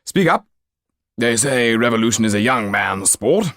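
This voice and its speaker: A man voicing a blustering, pompous upper-class English gentleman in an audiobook story. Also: medium pitch, lively intonation, moderate pace, dry recording, clean studio sound, voice pushed up. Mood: challenging.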